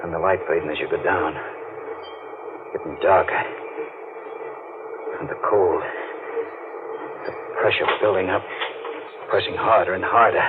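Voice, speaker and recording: An actor playing a brooding, guilt-ridden deep-sea diver in an old-time radio mystery, speaking in a tense, halting way. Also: male voice. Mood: haunted, fearful. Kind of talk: old-time radio drama acting with narrated inner monologue. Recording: muffled, noisy old radio recording.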